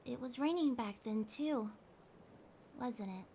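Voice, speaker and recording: Woman playing a high-voiced, needy cat girl. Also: mellow. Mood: sad, tender. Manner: halting, emotional, drifting into breathy whispers. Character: needy grieving cat girl, tender and fretful. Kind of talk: intimate ASMR roleplay audio drama. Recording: rain ambience noise behind the voice. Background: rain sounds.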